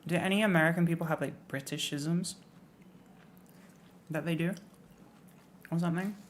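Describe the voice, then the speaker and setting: A woman's voice: lowish voice, steady tone, normal speed, slight background noise. A young English woman streaming, chatting casually and rambling with lots of fillers. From England. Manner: rambling, lots of likes and ums, playful rants. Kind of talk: casual livestream chat.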